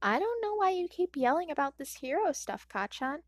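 A woman voicing a gentle, determined young boy who speaks softly and earnestly. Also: high voice, sing-song, moderate pace, no room sound, studio quality, pitched above the performer's own voice. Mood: pleading.

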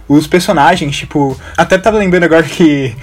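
A man's voice: on the high side, a little fast. A young man with a Brazilian accent chatting casually as a podcast panelist. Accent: Brazilian Portuguese. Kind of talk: casual chat.

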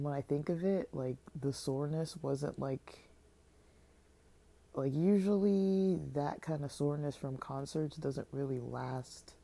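A woman's voice: extremely low pitch, mellow. A woman vlogger talking in a casual, hesitant, rambling way, full of fillers and restarts, and sounding slightly stuffy and tired. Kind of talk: casual vlog monologue.

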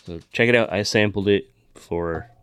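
Very deep-voiced casual man. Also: normal speed, a bit bright, clean tone, dry recording. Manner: informal, swearing, rambling. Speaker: co-host of a Halloween podcast. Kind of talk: casual chat, signing off a show.

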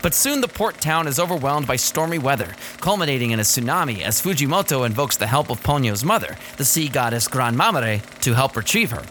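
A man's voice: mid-range voice, animated, fairly quick, hardly any pauses, fairly bright tone. A man reading a scripted story summary as narration for a podcast. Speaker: podcast plot summary reader. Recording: clear background noise.

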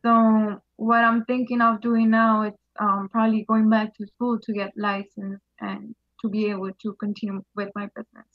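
A woman's voice: medium pitch, little pitch movement, moderate pace, hardly any pauses, no room sound, highs cut off. A woman in her twenties, a guest on an online interview, speaking hesitantly. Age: 20s. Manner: hesitant, soft-spoken.

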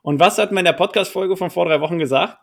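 A man's voice: high-pitched, fast, creaky. Jokey male podcast host. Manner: jokey, colloquial. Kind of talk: casual podcast hosting.

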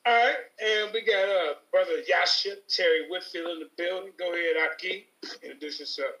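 A man's voice: sits high, a little fast, pushed and bright, echoey room, poor audio. A man hosting a talk show, introducing guests in a hyped, slangy way. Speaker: show host. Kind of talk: casual hype introductions.

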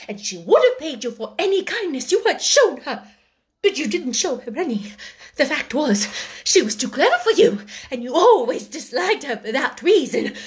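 A woman's voice: extremely high pitch, voice pushed up. A woman voicing a timid middle-aged younger sister in a storybook, who speaks out in emphatic, breathless, hysterical distress.

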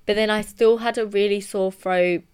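Young woman podcast cohost, smooth clear voice, rambly chatty story. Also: mid-range voice, steady tone, normal speed, darkish tone. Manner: chatty storytelling with many "like" fillers. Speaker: podcast co-host. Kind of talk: casual chat.

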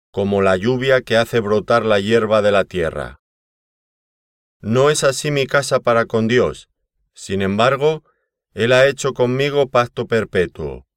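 A man reading scripture aloud as scripted narration, in a solemn, measured way with deliberate phrasing. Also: on the low side, smooth, no echo, clean studio sound. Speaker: Bible reader.

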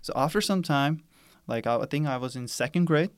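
A young man, a guest on a podcast, talking in a casual, halting way and searching for words as he goes. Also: on the high side, animated, clean tone, no room sound, clean studio sound. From Pakistan. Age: young adult. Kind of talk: casual conversation. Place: podcast studio.

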